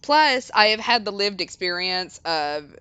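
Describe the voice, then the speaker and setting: A woman's voice: mid-range voice, big pitch swings, a little slow, bright, forceful tone, smooth, no room sound. A woman whose first language is Japanese, chatting on a game stream with dry, wry humor. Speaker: co-host streamer. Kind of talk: casual game-stream chat.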